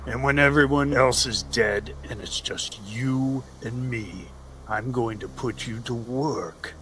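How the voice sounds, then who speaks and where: An actor playing a proud, cruel slave trader in an audio drama, vengeful and deliberate, with theatrical threats. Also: male voice, mid-range voice, a bit bright, a little hiss or hum. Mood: cold, threatening. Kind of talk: audio drama villain acting.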